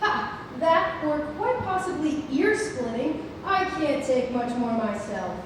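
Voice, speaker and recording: A woman's voice: high voice, expressive, takes it slowly. A woman playing a tall, burly, sardonic circus stagehand, dry and defiant, in a theatrical play read-through. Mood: defiant, mocking. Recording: noisy echoey hall, far from mic.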